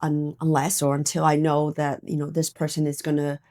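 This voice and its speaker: A middle-aged woman with a slightly low voice talks casually as an interview guest. She reflects as she goes, circling back over her phrases and punctuating them with small checks like "right?". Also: completely flat delivery, brisk, a little mellow. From Canada, born in Hong Kong. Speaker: podcast guest. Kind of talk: casual personal interview chat.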